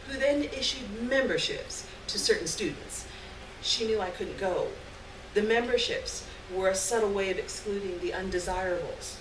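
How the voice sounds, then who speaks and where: Woman reading a story in a roomy space. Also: mid-range voice. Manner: measured, acts out dialogue. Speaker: reader at a live storytelling event. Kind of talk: expressive literary short story reading to an audience. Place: indoor live stage reading. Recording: roomy, noisy live recording from a distance.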